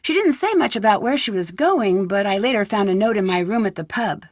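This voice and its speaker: A woman's voice: mid-range voice, animated, a little fast, no echo, studio quality, close to an everyday voice. A woman playing a young, inquisitive American detective in a video game, speaking in an earnest, conversational way with an American accent. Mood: reflective, upbeat. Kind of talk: video game voice acting, narrated letter and dialogue.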